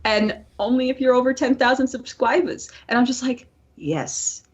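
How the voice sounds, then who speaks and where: A woman co-hosting a casual podcast, talking in an animated, playful way and swearing freely. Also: sits high, moderate pace, breathy edge. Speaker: podcast co-host. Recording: dull lo-fi voice-call audio.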